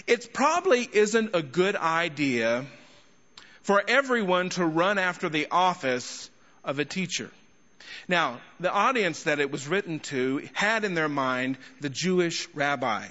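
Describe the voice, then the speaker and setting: A man preaching an expository sermon to a congregation, speaking emphatically with rhetorical questions and deliberate pauses. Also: fairly high pitch, fairly bright tone. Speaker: guest preacher and teacher. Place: large indoor church hall. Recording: echoey large hall, slightly muffled sound.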